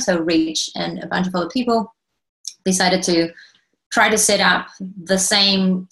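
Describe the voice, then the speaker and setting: A woman in her thirties with an Argentine accent, giving a relaxed, thoughtful presentation over a video call. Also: fairly low pitch, rough recording. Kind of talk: casual online presentation.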